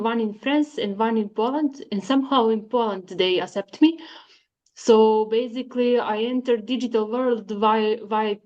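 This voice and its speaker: A woman, answering interview questions in an unhurried way with many fillers. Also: medium pitch, a little mellow. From Serbia. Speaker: guest, data scientist. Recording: dull lo-fi sound.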